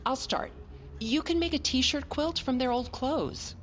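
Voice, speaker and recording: An actress playing a primly positive, insistent therapist in an audio drama, measured and clinical as she coaxes. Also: high-pitched, faint music behind. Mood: reassuring. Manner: clinical, coaxing, deliberate. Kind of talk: naturalistic audio drama acting.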